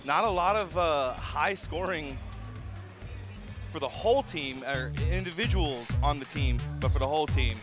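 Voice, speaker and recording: A man's voice: a little high, moderate pace, over music. A man doing casual play-by-play commentary for a college basketball broadcast, laid-back and full of fillers.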